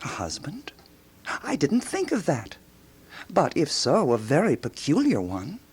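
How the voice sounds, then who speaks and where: Husky, inquisitive intellectual woman. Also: sits low, huge pitch swings, a little mellow, exaggerated voice. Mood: puzzled, musing. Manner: musing, probing, deliberately questioning. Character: Gertrude Stein, inquisitive, self-assured intellectual woman. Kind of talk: radio drama acting.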